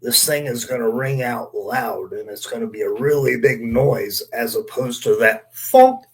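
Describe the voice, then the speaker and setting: A man's voice: mid-range voice, lively intonation, measured pace, poor audio. A man chatting casually and telling stories as a former wrestler on a podcast panel, with a salty, profane way of talking. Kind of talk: casual storytelling chat.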